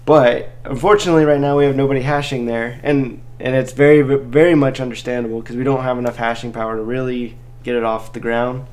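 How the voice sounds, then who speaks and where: A man's voice: medium pitch, fairly even intonation, a little fast, hardly any pauses, darkish tone. A man hosting a casual live chat, talking in an informal, encouraging and slangy way as he helps listeners out. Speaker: live Q&A host, crypto mining helper.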